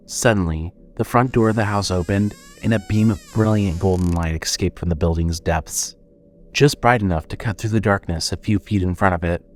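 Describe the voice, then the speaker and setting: Guy narrating a scary audio drama, lowish voice, reading from a script. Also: darkish tone. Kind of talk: scripted horror story narration.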